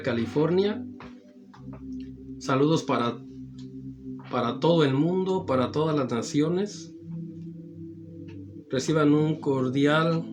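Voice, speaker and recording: A slightly hoarse man opens his gospel broadcast at a very slow, deliberate pace, in a voice pitched a bit high for a man. He keeps repeating warm addresses to his listeners, and music plays clearly underneath him. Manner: repetitive addresses, drawn-out phrases. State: slightly hoarse after the flu. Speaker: evangelical preacher and program host. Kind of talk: slow, warm-toned radio-style greeting and preaching. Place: studio in Pomona, California. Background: background music.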